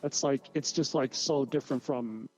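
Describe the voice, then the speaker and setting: Young guy, mellow voice, lots of like and restarts, faint music. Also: mid-range voice, moderate pace, rough recording. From northern Norway. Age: young adult. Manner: rambling, hesitant, many restarts and "like". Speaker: guest musician. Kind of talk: casual radio interview.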